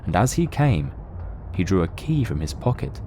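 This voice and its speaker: A man narrating a dramatic story from a script in a measured, literary manner, as the narrator of an audio drama. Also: sits low, big pitch swings, noisy background.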